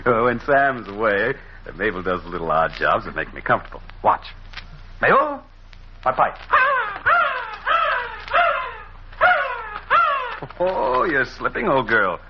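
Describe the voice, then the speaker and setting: An actor playing a charming, smitten American gentleman in an old-time radio drama, expressive and intimate. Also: on the low side, moderate pace. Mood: playful. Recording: muffled, noisy old radio recording.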